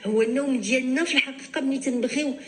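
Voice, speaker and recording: A woman doctor with a Moroccan accent, answering callers on a radio advice show, mixing in French and restarting often. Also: high voice. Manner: fast Darija mixed with French, many restarts.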